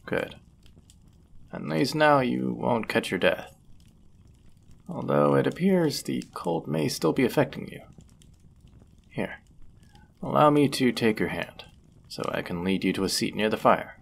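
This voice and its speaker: A man's voice: mid-range voice, animated, unhurried, vocal fry, pauses often, a little hiss or hum. A man playing a flirtatious, courteous medieval fantasy innkeeper in a roleplay audio drama, with formal, ornate, teasing phrasing. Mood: gracious, attentive. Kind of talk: scripted roleplay audio drama, addressing the listener.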